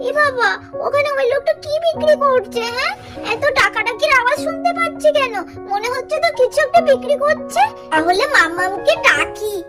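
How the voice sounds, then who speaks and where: A woman's voice: very high-pitched, lively intonation, brisk, over loud music. A woman voicing an eager, stubborn little girl doll in a cartoon story, childish and wheedling, repeating her words. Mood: curious.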